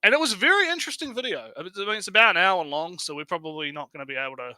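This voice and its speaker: Bright-voiced man bantering on a livestream. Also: sits high, expressive, rapid-fire, dry recording, rough recording. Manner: repeats phrases, rambling. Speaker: livestream co-host.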